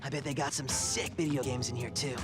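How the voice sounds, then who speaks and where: A man's voice: mid-range voice, fairly even intonation, brisk, close to an everyday voice. A man voicing a playful teenage mutant turtle slacker in a video game, laid-back, slangy and jokey. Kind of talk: animated video game acting. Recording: loud music under the voice.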